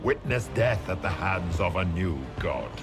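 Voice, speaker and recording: An actor playing an imperious ancient monster who claims godhood, a grandiose, menacing video game villain with a deep gravelly voice. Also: male voice, expressive, a little slow, loud music behind the voice.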